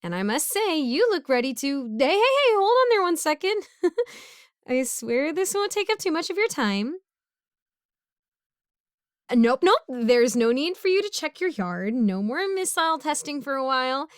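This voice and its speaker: A woman's voice: high voice, big pitch swings, a little slow, no echo, clean studio sound. A woman playing a broke, bumbling, chatty supervillain neighbor in a casual audio roleplay, rambling awkwardly as she pleads and justifies herself. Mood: falsely cheery, then nervous reassurance.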